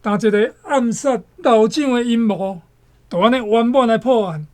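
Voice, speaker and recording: A woman's voice: on the high side, normal speed, darkish tone, natural voice. A woman with a Taiwanese accent performing as a dramatic storyteller in a radio drama.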